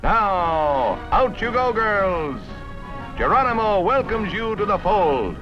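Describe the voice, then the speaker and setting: A man's voice: sits high, sing-song, slow pace. Dramatic American newsreel man over music. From United States. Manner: dramatic, polished, declamatory. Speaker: newsreel narrator. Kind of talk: 1950s newsreel scripted narration. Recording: dull vintage 1950s newsreel soundtrack.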